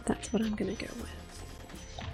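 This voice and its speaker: A woman doing ASMR game commentary in a soft, breathy whisper, gentle and unhurried. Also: over music. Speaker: ASMR gameplay host.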